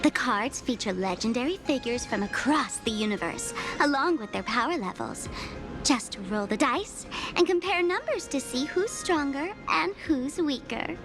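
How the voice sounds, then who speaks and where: A woman's voice: high voice, lively intonation, moderate pace, over music. A woman playing a playful, mischievous young game character who scorns boredom, speaking in a theatrical, teasing way. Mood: playful, inviting. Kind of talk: animated video game character dialogue.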